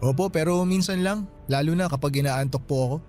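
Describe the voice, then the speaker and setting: A man plays a friendly Filipino night guard in a radio drama. His voice sits fairly high, and he speaks with polite deference over faint music. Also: fast, darkish tone, close to an everyday voice. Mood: friendly, curious. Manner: respectful, deferential.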